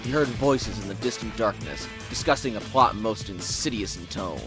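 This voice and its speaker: A man's voice: lowish voice, expressive, moderate pace, over music. A man reading scripted story narration in a theatrical, wordy storytelling manner.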